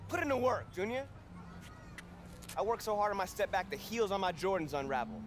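A man's voice: sits high, big pitch swings, brisk. An actor playing a bitter, demanding father and worn-out former pro basketball player in dramatic game dialogue. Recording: noisy outdoor ambience behind dialogue.

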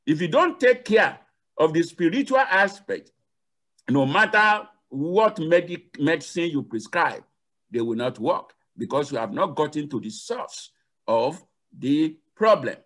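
An older man speaks as an academic guest on a video call. His voice sits fairly high and swings widely in pitch. He explains emphatically, repeating key phrases for weight. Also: fairly bright tone. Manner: emphatic, repeats key phrases, asks and answers own questions. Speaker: university lecturer, guest expert. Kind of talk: explanatory interview talk. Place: indoor room at home. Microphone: headset on a video call.

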